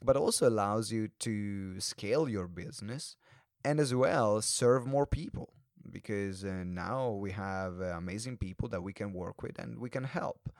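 A man's voice: lowish voice, measured pace, darkish tone, no room sound. Male podcast host, dry close sound. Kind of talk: podcast intro and interview.

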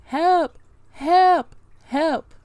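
A woman's voice: very slow, darkish tone, dry recording, heavily acted. A woman voicing terrified storybook characters crying out urgently in alarm. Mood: desperate. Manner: urgent, high-pitched exclamations. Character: panicked houseguests and victim crying out in terror.

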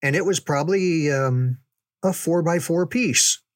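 A man's voice: fairly high pitch, dry recording. Older man telling stories, dry close sound. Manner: unhurried, folksy, halting with pauses for emphasis, chuckling. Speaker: podcast storyteller, reminiscing father. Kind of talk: casual conversational storytelling.

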